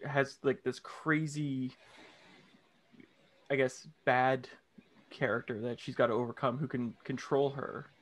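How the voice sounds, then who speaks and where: A man in his thirties hosting a film interview show from a home room, reading a scripted intro and then asking questions with halting restarts. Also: mid-range voice, level tone. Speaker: host. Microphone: large studio condenser mic with headphones.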